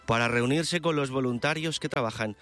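A man delivers a radio chronicle. His pitch is average and his phrasing is eloquent and descriptive. Faint music plays behind him. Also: moderate pace, fairly bright tone. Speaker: radio news presenter. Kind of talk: live radio chronicle, partly scripted. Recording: music bed under the voice. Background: background music.

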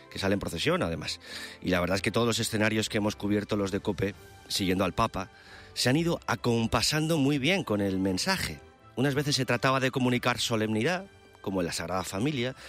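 A man's voice: lowish voice, fairly bright tone. A man presenting a live radio news chronicle in a descriptive, eloquent manner. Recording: music bed under the voice. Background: background music.

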